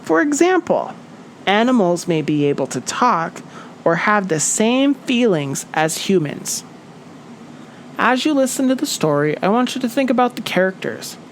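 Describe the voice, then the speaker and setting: A woman teacher giving a children's story read-aloud lesson in a deliberate, expressive, instructional way. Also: on the low side, a little hiss or hum.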